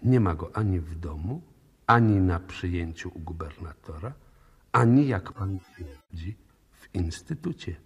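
An actor playing a composed, methodical police inspector in a radio drama, speaking formally and courteously with probing intent. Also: male voice, deep voice, animated, a little slow, husky, highs cut off, exaggerated voice. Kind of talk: 1960s Polish radio drama acting.

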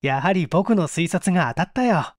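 A man's voice: high voice, lively intonation, moderate pace, dry recording, clean studio sound. A man voicing a composed, wry male detective in an old mystery story. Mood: wry composure.

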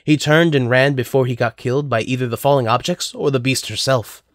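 A man's voice: medium pitch, a little fast, no echo, clean studio sound. A man narrating a scripted story as the narrator of an audio drama.

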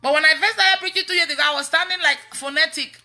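A woman in her thirties with a Nigerian accent, preaching casually online in an animated, emphatic way and repeating phrases. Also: extremely high pitch, fast, soft background music. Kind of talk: casual testimony-style preaching on a livestream. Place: indoors in a room at home.

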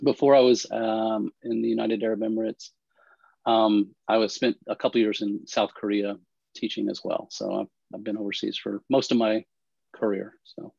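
A man on a panel video call, talking haltingly with many fillers and restarts. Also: on the low side, little pitch movement, vocal fry, a little mellow, husky, no echo. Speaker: panelist, English lecturer. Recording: dull, dry video call audio.

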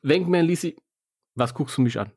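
A man's voice: on the high side, animated, moderate pace, a little mellow, slightly rough, dry recording, clean studio sound. A man with a German accent, co-hosting a podcast, reading a story and bantering with teasing asides. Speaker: podcast co-host reading a film novel aloud.

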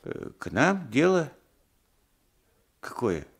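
An actor playing a simple, meek old peasant husband in a radio play, hesitant and homely. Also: male voice, mid-range voice, very animated, slow pace, creaky, husky, full character voice. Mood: nervous. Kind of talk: Soviet radio play acting. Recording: 1980s radio drama recording.

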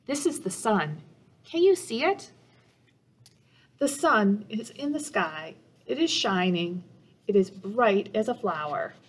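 A middle-aged woman, a children's librarian reading a picture book aloud in simple, deliberate phrases for young listeners. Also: mid-range voice, very animated, husky. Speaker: children's librarian and storytime host. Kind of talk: children's storybook read-aloud. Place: small office room indoors.

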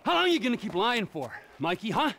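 A man's voice: high-pitched, very animated, fast, vocal fry, bright, forceful tone, faint music behind, heavily acted. An American man playing a bitter, menacing middle-aged criminal in a video game, taunting and accusing an old friend. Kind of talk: dramatic video game acting.

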